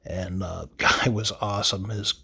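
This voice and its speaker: A man casually telling viewers a story in an informal, halting way. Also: low voice, fairly even intonation, creaky, sharp tone, a little hiss or hum. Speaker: vlogger, cassette tape collector.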